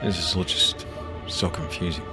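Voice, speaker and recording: A man with an extremely deep voice narrates dramatic fiction in the first person. Loud music plays underneath his scripted delivery. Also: fairly even intonation, moderate pace.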